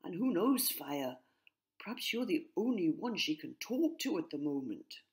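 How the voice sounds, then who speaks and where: Kind male gardener played by a female narrator, children's storybook. Reflective, gentle, measured pace. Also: on the low side, big pitch swings. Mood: kindly. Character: kind, thoughtful working man, a park gardener. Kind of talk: children's storybook character reading.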